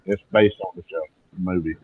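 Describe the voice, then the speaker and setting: Casting: husky, deep man with a Southern US accent, casual talk over a tinny, narrow connection. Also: steady tone, a little fast. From Alabama. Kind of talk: casual chat. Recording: muffled, tinny phone-like line.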